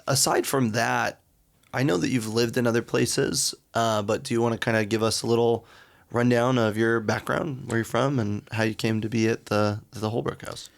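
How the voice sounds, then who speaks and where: A man hosting a podcast, chatty and joking, often pausing with fillers. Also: mid-range voice, fairly even intonation, creaky. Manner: joking, upbeat, hesitant with fillers. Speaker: podcast host. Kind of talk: casual interview chat.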